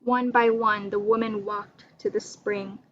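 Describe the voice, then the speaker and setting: A woman's voice: mid-range voice, fairly even intonation, a little slow. A woman storyteller giving a scripted, dramatic narration of a folktale. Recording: dull lo-fi recording with loud mains hum.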